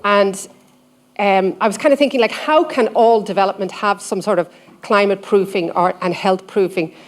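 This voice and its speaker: A woman's voice: medium pitch, normal speed. A woman from the audience of a conference asking a long question, chatty and thinking aloud.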